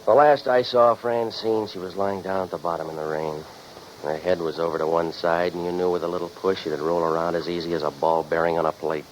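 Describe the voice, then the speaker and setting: An American actor playing a sardonic, hard-boiled private eye who narrates his case deadpan in 1940s radio noir style. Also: low-pitched, a little fast, over music, lightly acted. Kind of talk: 1940s radio noir acting, scripted first-person narration. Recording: muffled, noisy 1940s radio recording.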